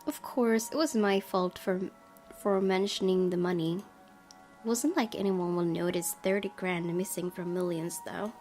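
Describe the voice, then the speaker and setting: A woman's voice: on the low side, a little slow, darkish tone. A woman reading a visual novel aloud as scripted first-person narration for a gaming video. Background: music.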